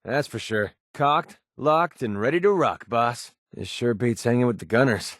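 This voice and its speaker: An actor playing a cynical, wisecracking young mercenary in a video game, with a sardonic, casual, streetwise delivery. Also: male voice, mid-range voice, moderate pace, a bit bright, no echo, studio quality, lightly acted. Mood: cocky, pleased. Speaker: video game companion character. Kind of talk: video game voice acting, short scripted barks.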